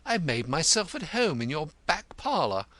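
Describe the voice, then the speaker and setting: Man voicing a high-pitched, pompous, aggrieved poet. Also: big pitch swings, moderate pace, pushed and bright, no echo. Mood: aggrieved, lofty. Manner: florid, grandiose, easily offended. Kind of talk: audiobook character voice.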